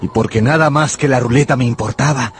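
An actor playing an obsessive, penniless young gambler who narrates a radio drama in a brooding, confessional manner. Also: male voice, mid-range voice, fairly quick, natural voice. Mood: wistful confession.

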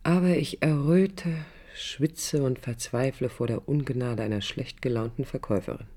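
An actress playing a sensitive, proud yet vulnerable young woman architect, narrating her inner thoughts in short halting phrases for a radio drama. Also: rumbling low, dark tone. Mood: insecure, confessional. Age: young adult.

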